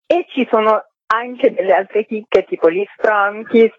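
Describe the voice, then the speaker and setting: A woman's voice: mid-range voice, unhurried, no echo. A talkative, enthusiastic woman giving spontaneous answers as an interviewed festival organizer, speaking over a telephone. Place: phone call into a radio programme. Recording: muffled narrow-band phone line.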